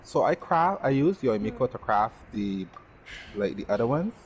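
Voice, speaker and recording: A man's voice: medium pitch, very animated, moderate pace, muffled recording. A man commenting casually on gameplay, explaining things in a slangy, rambling way.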